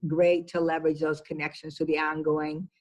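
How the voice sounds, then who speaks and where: A woman's voice: low voice, little pitch movement, normal speed, darkish tone. A woman executive giving conversational interview answers, emphatic and repeating words for stress. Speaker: business executive being interviewed.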